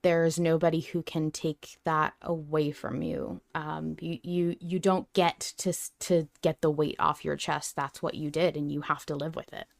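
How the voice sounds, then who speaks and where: A woman's voice: sits low, little pitch movement, normal speed, vocal fry, few pauses, no room sound, studio quality. A woman co-hosting a podcast in casual discussion, effusive and peppering her talk with "like". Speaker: podcast co-host.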